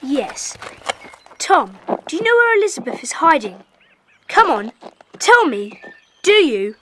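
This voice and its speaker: An actress playing a bossy, eager schoolchild detective with a brisk, insistent manner in a children's audio drama. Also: female voice, high-pitched, big pitch swings. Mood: urgent. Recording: hissy old cassette tape.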